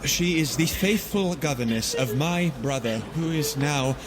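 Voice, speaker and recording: An actor playing an earnest young gentleman full of dread, formal and hesitant, in an audio drama. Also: a little high, measured pace, a crowd in the background, close to an everyday voice. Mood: earnest pleading.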